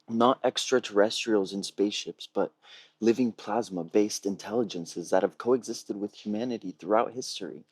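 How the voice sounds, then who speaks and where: A man giving a prepared film pitch on a video call in a measured, earnest storytelling way. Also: husky, no room sound. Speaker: filmmaker pitching a feature film. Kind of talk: prepared pitch presentation, read narration.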